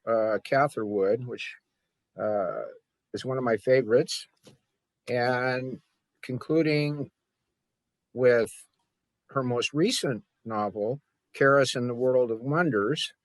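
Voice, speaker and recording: Man, mid-range voice, reflective, halting delivery, informal event introduction, dry close sound. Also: medium pitch, no echo. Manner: reflective, halting with deliberate pauses. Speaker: host introducing a literary reading.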